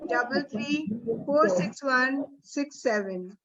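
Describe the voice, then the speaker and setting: A woman's voice: sits high, animated, slow pace, fairly bright tone. A woman with an Indian accent speaking as a trainer in an online session, encouraging and emphatic, often repeating phrases for weight. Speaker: guest trainer leading an online teacher workshop. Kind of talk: informal motivational closing talk to trainees. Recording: dull, lo-fi online call audio.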